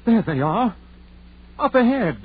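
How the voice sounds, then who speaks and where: An actor playing a frightened, reluctant country fellow who stammers, in a 1930s radio horror play on a noisy old recording. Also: male voice, very high-pitched, sing-song, measured pace, husky, narrow, tinny audio, heavily acted. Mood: alarmed. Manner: fearful, stammering, folksy. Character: frightened, superstitious, reluctant rustic male companion.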